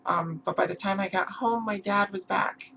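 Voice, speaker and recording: A hoarse young woman talking haltingly in a personal vlog, with many pauses and ums. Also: mid-range voice, steady tone. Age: young adult. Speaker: vlogger. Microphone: camera mic. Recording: dull lo-fi mic with faint hiss.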